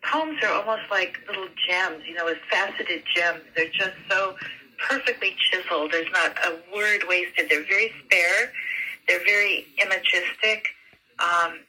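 Very bright-voiced woman in her seventies. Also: on the low side, measured pace, rough recording. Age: 70s. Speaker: interviewee, poet discussing her former teacher. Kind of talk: reflective interview talk.

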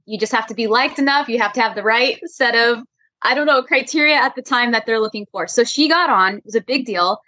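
A woman's voice: fairly high pitch, pushed and bright, no room sound. A woman speaking as a podcast guest in a casual interview, upbeat and friendly, laughing easily.

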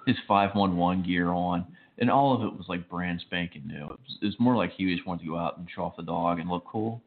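A man's voice: deep voice, slight background noise. A man co-hosting a casual radio chat, teasing his partner dryly and restarting his sentences often. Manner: dry teasing, halting restarts. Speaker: co-host. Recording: phone-like narrow band.

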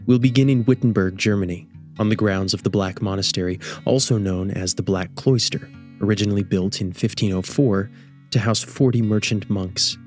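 Male narrator for scripted radio drama, measured storytelling delivery, lower-pitched voice, plucked guitar music behind. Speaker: host and narrator.

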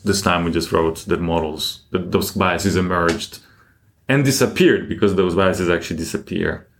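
A man speaking as an academic guest in an interview, explaining things deliberately and with emphasis. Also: low-pitched, slight background noise.

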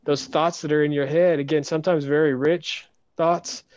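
A man hosting a podcast interview, talking in a soft, hesitant way with many restarts. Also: a little high, level tone, moderate pace, no room sound, highs cut off. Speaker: podcast host. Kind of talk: casual interview chat.

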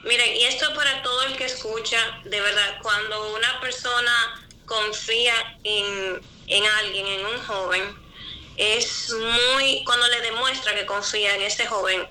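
A woman with a very bright, sharp tone speaks as an interview guest. She reflects earnestly in a slightly high voice, with faint noise behind her. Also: steady tone, unhurried, low-quality recording.

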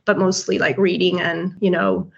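A woman from Poland, a guest in a podcast interview, describing her career in a measured, articulate way. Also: lowish voice, gravelly. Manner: articulate, measured professional self-presentation. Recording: dull lo-fi audio.

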